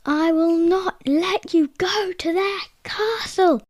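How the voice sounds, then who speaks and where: Woman putting on a grumpy shouting troll, high-pitched. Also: slow pace, no room sound. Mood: threatening. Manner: shouting, threatening. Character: grumpy, angry male troll-like villain.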